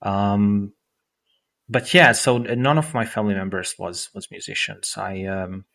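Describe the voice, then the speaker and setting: A man in his thirties from Romania, speaking English with a Romanian accent. His low voice has a bright, forward ring, and his phrasing is halting, with frequent restarts and fillers as he chats in an interview. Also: steady tone, gravelly. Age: 30s. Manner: halting, many restarts and fillers, non-native grammar. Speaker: guest musician. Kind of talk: casual interview chat.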